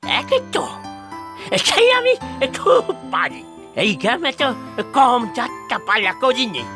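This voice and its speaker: A woman voicing a stubborn, crotchety old village father in a cartoon, bossy and scolding with excitable exclamations. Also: on the high side, slow, full character voice. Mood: approving, confiding. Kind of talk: animated cartoon dialogue. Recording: music behind the voice.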